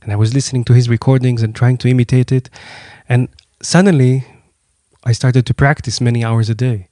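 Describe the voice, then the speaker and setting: A man's voice: mid-range voice, fairly even intonation, brisk, dark tone, no echo. Man hosting, in a very dry close recording. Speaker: podcast host; violinist. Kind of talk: personal narration and conversation.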